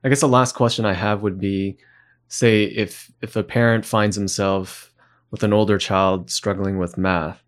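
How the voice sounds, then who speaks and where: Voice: low-pitched, steady tone, measured pace. A podcast host interviewing a guest, giving short encouraging acknowledgements and then closing the episode. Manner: brief encouraging backchannels.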